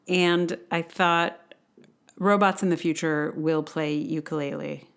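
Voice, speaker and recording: A woman's voice: low voice, steady tone, slow, smooth. A woman talking casually and thinking aloud as she introduces a song on her podcast. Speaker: podcast host, ukulele player.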